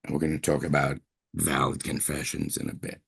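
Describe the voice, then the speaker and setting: Older male professor from brooklyn, very low gravelly voice, husky, rambling lecture with throat clearing. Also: flat delivery, brisk. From Brooklyn, New York. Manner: chatty, digressive, frequent throat clearing and fillers. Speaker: linguistics professor giving a lecture. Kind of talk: university guest lecture.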